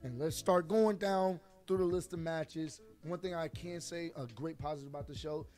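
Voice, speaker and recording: A man reviewing a wrestling show with excitement and slang, his voice hoarse from losing it. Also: a little high, moderate pace, soft background music. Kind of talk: energetic review chat.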